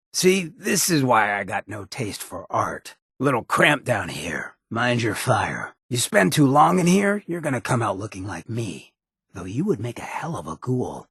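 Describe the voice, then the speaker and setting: Game companion casting: an irreverent, swaggering male ghoul, heavily rasped and dry-miked, wry slangy drawl, loyal but cutting. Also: mid-range voice, fairly bright tone, dry recording, full character voice. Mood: hushed. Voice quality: raspy, gravelly. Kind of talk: video game companion voice lines.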